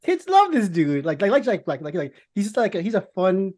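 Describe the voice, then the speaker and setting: A man's voice: high-pitched, huge pitch swings, a little fast, a little mellow. A man chatting casually as a podcast host, with a rambling, repetitive, slangy way of talking. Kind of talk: casual film chat.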